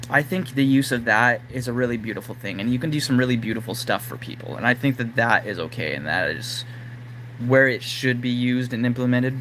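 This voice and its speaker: A man whose voice is going hoarse, talking casually and rambling to his live-stream audience with plenty of fillers. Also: mid-range voice, fairly bright tone, slight background noise, rough recording. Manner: rambling, lots of fillers like "like" and "you know". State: losing his voice, throat-clearing. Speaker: livestream host.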